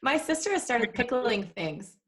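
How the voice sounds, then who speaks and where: A woman's voice: on the high side, sing-song, normal speed, a crowd in the background. A woman co-hosting a casual live podcast, telling small anecdotes with wry, joking asides. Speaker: podcast co-host.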